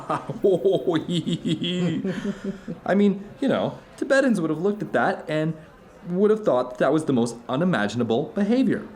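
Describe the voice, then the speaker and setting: An actor playing an intense, animated friend at dinner, telling stories in an emphatic, rambling, philosophical way in an audio drama. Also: male voice, fairly high pitch, slight background noise. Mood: incredulous, animated. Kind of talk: conversational audio drama dialogue.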